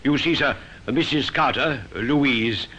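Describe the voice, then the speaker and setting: An actor playing a gallant, excitable gentleman suitor in a radio drama, formal and effusive. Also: medium pitch, slow. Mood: gallant, happy. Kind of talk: 1950s radio drama acting. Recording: dull, noisy old radio recording.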